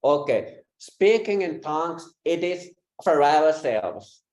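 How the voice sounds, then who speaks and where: Woman preacher, low voice, echoey room. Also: very animated, a little mellow. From Finland. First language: Finnish. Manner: speaks short phrases, pausing for translation. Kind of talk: teaching through an interpreter.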